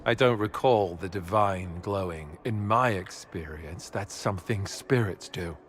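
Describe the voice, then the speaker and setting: A man's voice: low-pitched, measured pace, a little hiss or hum. A man playing a wry, plain-spoken warrior in a fantasy video game, with a dry conversational delivery. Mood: wry, wary.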